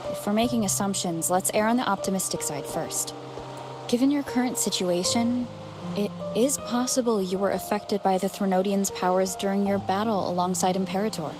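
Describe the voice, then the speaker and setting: An actress plays a young woman adventurer in a video game, with a mid-pitched voice over clear background music. She is level-headed and reassuring, reasoning calmly and speaking directly. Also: normal speed, natural voice. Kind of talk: scripted video game dialogue.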